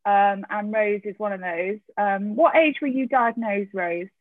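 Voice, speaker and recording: A woman's voice: mid-range voice, a little slow, no echo. A woman hosting a podcast interview, encouraging and chatty, with hesitant fillers. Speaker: podcast host. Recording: tinny phone-like line, dry.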